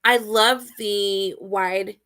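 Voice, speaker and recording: A woman guest in a casual interview, speaking with enthusiasm and swinging between loud emphatic bursts and quieter asides. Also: medium pitch, fairly even intonation, drawn-out words. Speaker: guest, outdoor community organizer.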